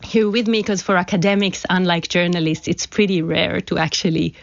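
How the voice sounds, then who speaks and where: A woman's voice: lowish voice, fairly even intonation, fairly quick, gravelly, dry recording. A woman from Israel, an academic guest talking conversationally in a radio studio interview. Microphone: studio microphone. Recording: slightly dull, band-limited broadcast audio.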